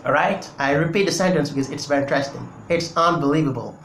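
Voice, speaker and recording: A man's voice: on the high side, fast, a bit bright, roomy sound, a little hiss or hum, low-quality recording. A Senegalese man whose first language is Wolof, giving emphatic political commentary in English with many fillers. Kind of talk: opinion commentary to camera.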